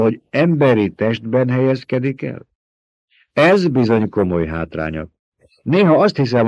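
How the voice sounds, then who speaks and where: A man voicing a scheming, gluttonous older baron in an audiobook, mocking and theatrical, with booming emphasis, in a dry, close recording. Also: a little mellow, no room sound, lo-fi sound, lightly acted. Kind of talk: audiobook character voice.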